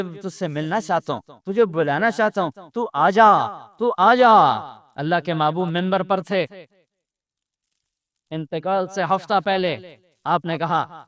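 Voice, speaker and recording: A man's voice: high-pitched, clear, resonant tone. A man with a Pakistani accent preaching an Islamic sermon with Arabic recitation, emphatic and repeating phrases for effect. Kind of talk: impassioned Urdu religious sermon with Arabic recitation.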